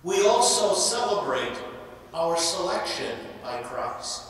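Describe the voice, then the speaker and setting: An older man, an archbishop, preaching a sermon slowly and deliberately from a lectern microphone in a large cathedral. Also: sits high, sing-song, fairly bright tone. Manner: slow, measured preaching with long pauses. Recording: echoey cathedral from far off.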